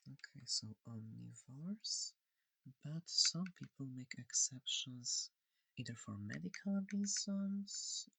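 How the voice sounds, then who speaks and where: A soft-spoken ASMR performer of unclear sex playing a curious, gentle alien examiner, halting and clinical yet reassuring, breathy and often whispering close to the microphone. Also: mid-range voice, animated, no room sound, low-quality recording. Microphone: close, dry microphone.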